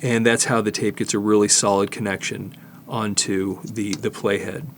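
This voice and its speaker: An older man giving a casual hands-on demonstration, explaining haltingly and thinking aloud as he works. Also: fairly low pitch, little pitch movement, slight background noise. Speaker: host, tape digitizing technician. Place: studio, indoors.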